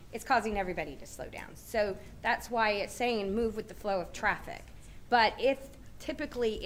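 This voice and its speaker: A woman's voice: on the high side, slight background noise. Methodical woman explaining city policy. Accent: Southern American. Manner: steady, methodical, repeats points for clarity. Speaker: city transportation official. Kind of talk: explaining policy at a public meeting. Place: large meeting room.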